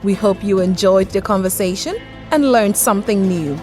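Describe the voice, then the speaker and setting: A woman's voice: medium pitch, moderate pace, faint music behind. A woman reading a scripted podcast outro in an upbeat way. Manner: upbeat, lively read.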